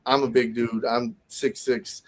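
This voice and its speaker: A man's voice: mid-range voice, flat delivery, measured pace. A man in his thirties, a guest on a casual podcast. He chats loosely, laughs often and stumbles over repeated words. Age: 30s. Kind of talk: casual chat.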